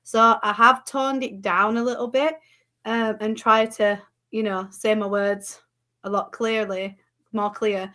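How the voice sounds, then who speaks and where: A woman with a Yorkshire accent, a guest talking casually and quickly, laughing easily. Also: medium pitch, steady tone, sharp tone. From Yorkshire, England. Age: adult with children. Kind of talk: casual chat.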